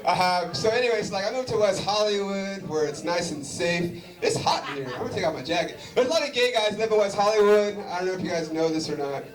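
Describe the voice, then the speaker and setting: A man's voice: sits high, fairly quick, few pauses. A man from Southern California doing a loose, chatty stand-up set and bantering with a live audience. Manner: animated, chatty, loose with fillers, banters with the crowd. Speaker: stand-up comedian. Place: comedy venue before a live audience. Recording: roomy live venue recording from a distance with crowd noise. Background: audience laughter.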